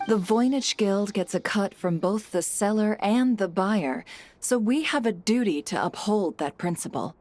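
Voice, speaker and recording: Poised, courteous guild leader. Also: female voice, mid-range voice, darkish tone. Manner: formal, measured, courteous. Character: poised, cryptic woman heading a secretive trade guild. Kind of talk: video game character acting.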